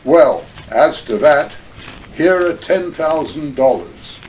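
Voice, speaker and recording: A man playing a smooth, scheming male crime boss in a community radio play, speaking in formal, elaborate phrases. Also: a little high, animated, takes it slowly, darkish tone, distant mic, a little hiss or hum, poor audio. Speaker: actor in community audio drama.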